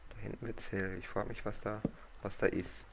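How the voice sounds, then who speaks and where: Host, man, low and flat, hoarse with a cold, rambling play-along talk, noisy home recording. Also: normal speed. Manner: relaxed, rambling, thinks aloud. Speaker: gaming channel host. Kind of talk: casual let's-play commentary.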